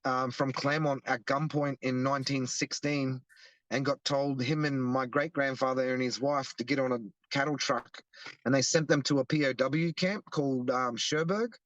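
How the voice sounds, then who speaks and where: A man's voice: medium pitch, steady tone, normal speed, fairly bright tone, dry recording, lo-fi sound. A young Australian man explaining earnestly in a casual interview, often restarting his sentences. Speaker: guest, activist.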